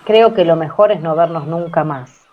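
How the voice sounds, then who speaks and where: A woman's voice: low-pitched, normal speed, pitched below the performer's own voice. A woman playing an indignant, wounded woman quarrelling in a homemade radio drama. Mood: indignant, hurt. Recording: muffled homemade recording.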